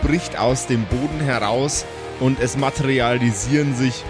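A man improvising narration as game master of a tabletop audio play, halting and joking as he sets the scene. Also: mid-range voice, music in the background.

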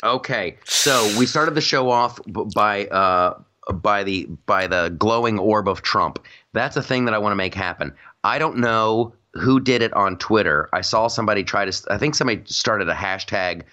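A man's voice: on the low side, normal speed, sharp tone, lo-fi sound. A man hosting a podcast, chatting casually and jokily, rambling with frequent restarts. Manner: jokey, rambling, many restarts. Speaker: podcast host. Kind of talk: casual political chat.

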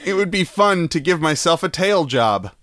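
A man's voice: sits high, pushed and bright, no room sound, clean studio sound. A man co-hosting a comedy podcast, reading out viewer comments and arguing jokingly. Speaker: podcast co-host. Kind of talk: casual comedic chat, reading comments aloud.

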